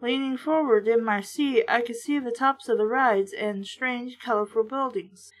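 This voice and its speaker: A woman's voice: on the high side, moderate pace, rough recording. A woman narrating a storybook aloud as host, breaking off into blunt, sardonic asides in a casual way. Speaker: host reading a children's horror book aloud with commentary.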